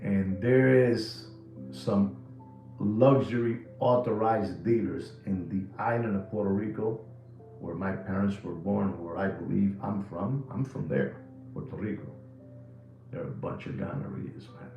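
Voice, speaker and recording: A man's voice: fairly low pitch, measured pace, gravelly, echoey room, muffled recording, soft background music. A man, hosting a live watch podcast in a rambling, jokey way full of slang. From New York City. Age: 60s. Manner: animated, jokey storytelling with slang.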